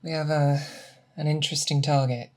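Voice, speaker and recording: A performer in an ASMR roleplay playing a patient, methodical monster hunter who mentors an apprentice, speaking deliberately and coaxing with questions. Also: mid-range voice, little pitch movement, close to an everyday voice. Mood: calm, focused. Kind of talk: ASMR roleplay, scripted character monologue.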